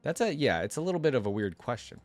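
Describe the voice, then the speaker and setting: A man's voice: mid-range voice, sing-song, quick pace, a little mellow, no echo, soft background music. A man hosting a reaction video, casually thinking aloud and reading a story as he goes. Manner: talks to himself, thinking aloud with casual slang.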